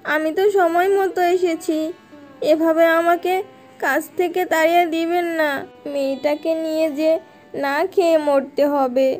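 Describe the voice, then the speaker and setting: Woman as mother fox, high voice, loving and worried, fairy-tale cartoon, faint music behind. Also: fairly even intonation, a little slow, a little mellow. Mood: pleading.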